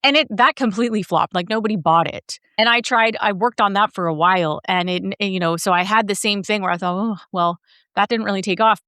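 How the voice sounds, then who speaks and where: A woman's voice: mid-range voice, fairly quick, fairly bright tone, no room sound. Dry, talkative woman coach. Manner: talkative, encouraging, explains with examples. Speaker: business coach and host. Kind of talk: coaching conversation.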